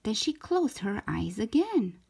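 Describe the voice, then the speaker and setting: A woman reading a storybook aloud for young children in an expressive, deliberate way, stretching out key words. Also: medium pitch, gravelly, slightly rough. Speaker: children's storybook reader, show host.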